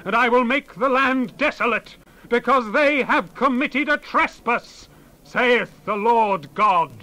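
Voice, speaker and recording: An actor playing a stern prophet who proclaims God's judgment in an emphatic, declamatory dramatized scripture reading. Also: male voice, extremely high pitch, slow pace, sharp tone, full character voice, poor audio. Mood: stern, condemning.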